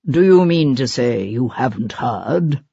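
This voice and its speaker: A narrator playing a self-possessed actor of the old school, his voice dropped deep and resonant with stage training. He answers in short, scornful bursts. The sound is slightly dull and close. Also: animated, a little slow, creaky, warm tone, breathy edge, no echo, full character voice. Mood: curt, scornful. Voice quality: deep, rich, stentorian. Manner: curt, dismissive, haughty. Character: arrogant, theatrical older stage actor, man. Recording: slightly dull, dry lo-fi recording.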